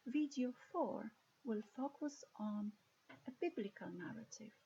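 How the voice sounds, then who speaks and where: A woman from Poland with a Polish accent, giving a recorded course lecture in a measured, careful way with occasional restarts. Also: medium pitch, dark tone, highs cut off, slight background noise.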